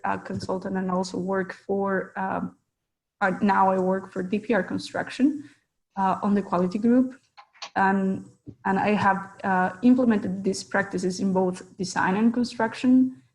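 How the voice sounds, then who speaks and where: A woman's voice: mid-range voice, darkish tone, rough recording. A woman researcher and consultant presenting in an online webinar, hesitant, with many fillers. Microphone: video call.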